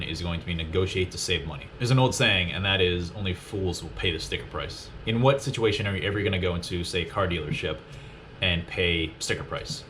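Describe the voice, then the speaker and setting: Fast-talking low-voiced young man. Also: a bit bright, slight background noise. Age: 20s. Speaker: personal finance video host. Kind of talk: explanatory list-style talk to viewers.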